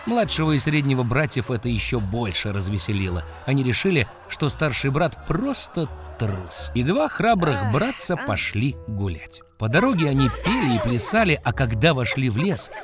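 Children's storyteller, scripted fairy tale, highly animated intonation, dramatic delivery, clear musical backing. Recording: music behind the voice.

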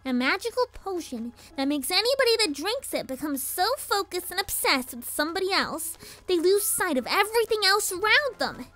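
A scheming little pony girl with a high, swooping voice. She is sassy and quick to argue, like a bratty cartoon villain. Music plays under her. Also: big pitch swings, bright, forceful tone, exaggerated voice. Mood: chatty, confident. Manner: sassy, defensive. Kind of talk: animated-style fan audio drama.

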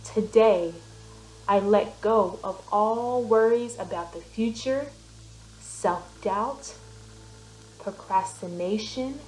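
Slow-speaking woman guiding a meditation. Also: mid-range voice, a little mellow, a little hiss or hum. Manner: soothing, deliberate, pausing between short phrases. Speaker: meditation guide and business coach. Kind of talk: guided meditation with spoken affirmations. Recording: roomy, echoing voice recording. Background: background music.

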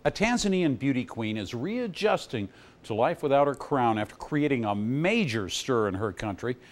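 Guy doing formal news voiceover, mid pitch, even steady read. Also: soft background music. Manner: measured, formal delivery. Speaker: news presenter. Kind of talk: scripted news narration.

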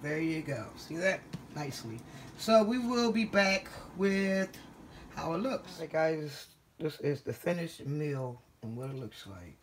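A woman's voice: sits low, animated, measured pace, a little hiss or hum. A tired woman after a long workday, chatting casually as she walks through a home recipe, filming on a handheld phone in her kitchen. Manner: chatty, repeats "all right?" and "guys". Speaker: home cook vlogger. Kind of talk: casual cooking walkthrough. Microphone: phone held in hand.

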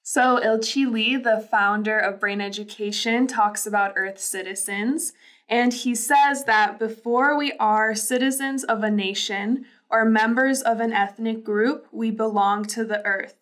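A young woman from Lithuania co-hosting a podcast, explaining things in a calm, measured way. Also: on the high side. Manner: calm, measured, frequent "you know". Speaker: podcast co-host. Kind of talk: casual podcast chat. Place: small bright studio room, indoors. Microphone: desk microphone.

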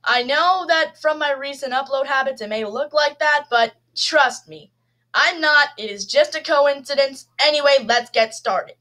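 Woman at her computer doing a reaction vid, high pitched, kinda sharp, casual chatter and dry little comments. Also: normal speed. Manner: chatty, with short dry asides. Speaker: reaction video host. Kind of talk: casual chat. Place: indoors at a computer.

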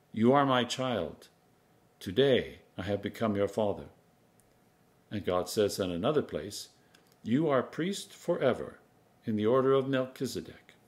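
A man in his sixties, an Anglican priest, reading scripture aloud in a measured, solemn, emphatic manner. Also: fairly low pitch. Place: home kitchen, indoors.

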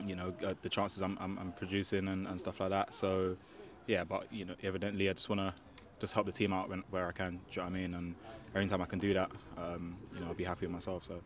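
Very deep, flat-voiced young footballer. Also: male voice, fast talker. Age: young adult. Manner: hesitant, many fillers and restarts, keeps asking "do you know what I mean". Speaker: football player. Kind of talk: post-match interview. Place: outdoors at a football ground. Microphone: handheld microphone.

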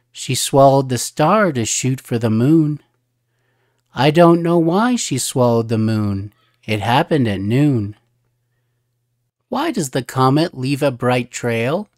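A storybook narrator with a mid-pitched voice reads aloud for children. The delivery is slow and measured, with each phrase set out clearly. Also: darkish tone. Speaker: storybook reader.

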